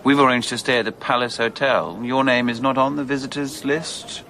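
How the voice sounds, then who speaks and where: An actor playing a polite, reserved Victorian gentleman in a radio drama. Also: mid-range voice, moderate pace, a little hiss or hum. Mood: wary, puzzled. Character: courteous, wary Victorian gentleman.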